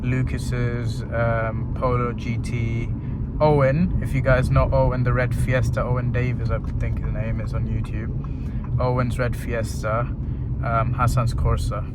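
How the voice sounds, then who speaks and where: Young British man over loud car noise. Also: mid-range voice. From United Kingdom. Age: young adult. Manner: slangy, blunt, teasing banter. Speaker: driving vlogger. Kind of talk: casual chat while driving. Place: inside a moving car.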